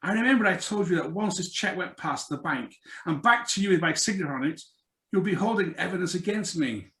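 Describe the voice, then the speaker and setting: In an echoey room, a man acts out a suave blackmailer from a radio play. He swoops up and down in pitch, drawing out his courtesies with a sneer. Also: expressive, fairly quick, pushed and bright, exaggerated voice. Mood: smug, menacing. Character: suave, sneering male blackmailer, mockingly polite. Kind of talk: live radio drama reading.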